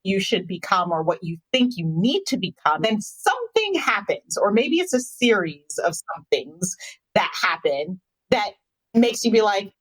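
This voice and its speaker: A woman's voice: mid-range voice, very animated, normal speed, slightly rough, poor audio. A woman co-hosting a podcast, talking casually in an emphatic, outspoken way. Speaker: podcast co-host. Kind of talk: casual, conversational interviewing.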